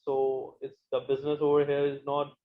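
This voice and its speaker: A man from India, an event planner talking haltingly with many fillers on an online panel. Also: mid-range voice, monotone, narrow, tinny audio.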